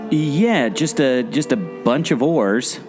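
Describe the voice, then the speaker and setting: A man's voice: mid-range voice, animated, takes it slowly, a little mellow. A man in a scripted audio play, speaking as a skeptical, puzzled sidekick with a theatrical delivery. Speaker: podcast co-host, former theme park skipper. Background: guitar music.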